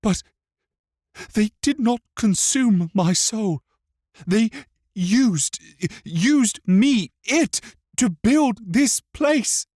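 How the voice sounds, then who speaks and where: A man's voice: expressive, drawn-out words, a little mellow, dry recording, studio quality, exaggerated voice. A man voicing a frightened, tormented ghost boy in an audiobook, with pleading, emotional delivery. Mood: anguished. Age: child. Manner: pleading, emotional, shrill when upset. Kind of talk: dramatic audiobook character acting.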